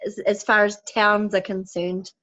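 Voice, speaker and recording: A woman from New Zealand's far north with a New Zealand accent, a netball team organiser answering interview questions in a relaxed, friendly way. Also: mid-range voice, a little slow, highs cut off. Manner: relaxed, friendly, some ums.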